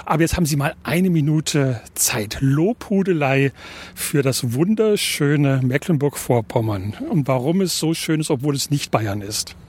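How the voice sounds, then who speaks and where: Slightly husky man, teasing interviewer. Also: on the high side, lively intonation, a little slow, darkish tone. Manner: teasing, playful questions. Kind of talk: interview questions.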